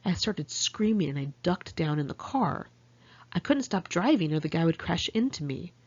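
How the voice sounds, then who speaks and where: A woman's voice: fairly low pitch, big pitch swings, moderate pace, darkish tone, breathy edge, dull audio. An American woman narrating her own memoir in a candid, conversational, salty-tongued way. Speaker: author reading own memoir. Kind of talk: first-person audiobook narration.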